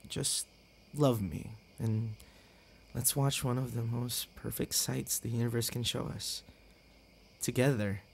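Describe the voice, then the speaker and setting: A man playing a tender, heartbroken young boyfriend in an intimate ASMR roleplay, with halting, stammering delivery and sighs. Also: medium pitch, vocal fry, slight background noise.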